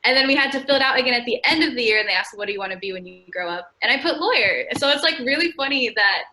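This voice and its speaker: A young woman in her twenties from California talks as a podcast guest, chatty and upbeat, often dropping "like" as she goes. Her voice is bright and clear. The tone is casual and friendly, as in a relaxed conversation. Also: on the high side, racing. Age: 20s. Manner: chatty, frequent "like" fillers, upbeat. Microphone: remote call.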